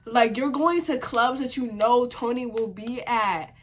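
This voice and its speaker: A woman's voice: a little high, measured pace. Chatty young woman with a congested cold. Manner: chatty and opinionated, many "like" fillers, animated outbursts. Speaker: vlogger reviewing a TV series. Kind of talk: casual sit-down chat to camera. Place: home sit-down setup.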